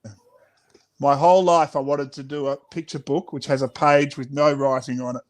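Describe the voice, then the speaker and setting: A man's voice: medium pitch, steady tone, moderate pace. A middle-aged Australian man, a children's author, reading and chatting in a playful, theatrical way. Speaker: children's author reading his own book. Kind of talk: animated picture-book read-aloud and chat.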